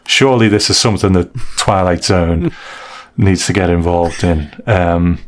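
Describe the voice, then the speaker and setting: A man's voice: sits low, a little slow, a little hiss or hum. A man co-hosting a podcast, chatting casually and conversationally as he reviews stories, with frequent asides. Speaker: podcast co-host.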